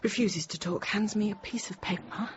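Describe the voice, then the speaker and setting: An actress as a young woman teacher recounts her fear in a radio drama monologue. Her medium-pitched voice is halting and intimate, often sinking to a hush. The recording is dull, with plenty of background noise. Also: natural voice. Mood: tense. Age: 30s. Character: frightened young Parisian nursery teacher recounting a siege, controlled. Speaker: radio drama actor, narrator.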